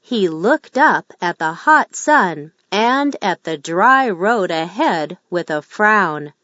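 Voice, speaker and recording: A woman narrating a children's story aloud in a gentle, measured storytelling manner. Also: mid-range voice, animated, no echo, muffled recording. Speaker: storybook reader.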